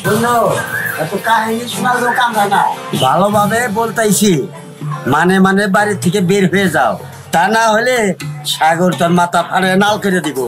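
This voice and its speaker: A man's voice: sits high, normal speed, fairly bright tone, soft background music. A man playing a menacing, bossy village uncle, the villain of a drama, who threatens and commands. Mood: threatening.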